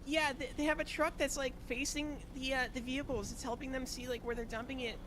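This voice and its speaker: Man putting on a high-pitched panicked woman caller. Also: level tone, fast, background noise. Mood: frightened. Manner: stammering, hesitant, breathless repetitions. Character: panicked, frightened young woman calling emergency line. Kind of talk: improvised game roleplay.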